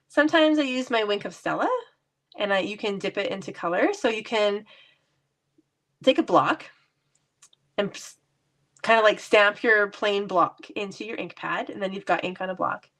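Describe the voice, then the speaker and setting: A woman's voice: medium pitch, expressive, normal speed, pauses often, fairly bright tone. A woman with a stuffy cold voice, chatting casually and laughing lightly as she demonstrates card making in her basement craft room. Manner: chatty, laughs often, self-deprecating.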